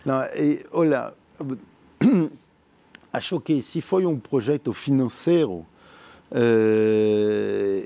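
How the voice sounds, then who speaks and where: French man, mellow, halting visionary. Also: mid-range voice. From France. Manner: earnest, visionary, halting with many fillers and repeated words. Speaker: entrepreneur. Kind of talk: interview answers.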